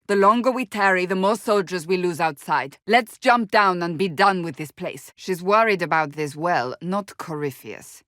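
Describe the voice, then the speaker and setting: An actress voices a stern, devout warrior woman for a fantasy game. Her voice sits on the low side, recorded dry and close. She speaks formally and earnestly, with measured, forthright conviction. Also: fairly bright tone, dry recording, lightly acted. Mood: urgent, grave. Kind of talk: fantasy video game voice acting.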